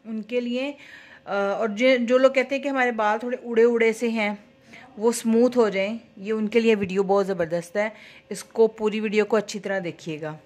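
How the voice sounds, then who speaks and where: A woman's voice: on the high side, fairly even intonation, moderate pace, a little mellow. A woman who runs a beauty salon, chatting step by step through a homemade hair oil recipe in her kitchen, with small fillers as she works. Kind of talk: home cooking-style hair oil tutorial. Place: home kitchen at stove.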